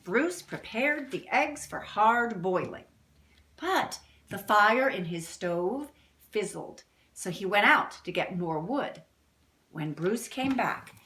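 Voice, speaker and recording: A woman reading a picture book aloud to young children like a teacher, expressively, pausing to explain things. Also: medium pitch, pushed and bright. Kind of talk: read-aloud storytelling with asides to children. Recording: roomy indoor echo.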